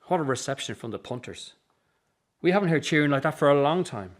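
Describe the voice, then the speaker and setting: An actor in a radio drama playing a weary, earnest circus boss and troubled son, with an Irish accent. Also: male voice, on the high side, expressive, a little fast, natural voice. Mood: businesslike.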